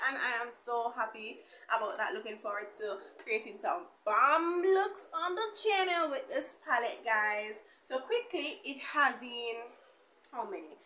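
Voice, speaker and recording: A young woman chatting casually to camera as a beauty vlogger, animated and colloquial, addressing viewers familiarly. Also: fairly high pitch, reverberant, a little hiss or hum. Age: young adult. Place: small indoor room with bare wall.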